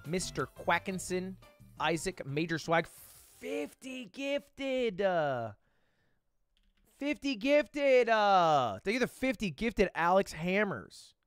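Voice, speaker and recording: A man in his twenties chatting casually with viewers on a live stream, animated and swearing freely. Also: sits high, slow pace, no room sound, studio quality, soft background music. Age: 20s.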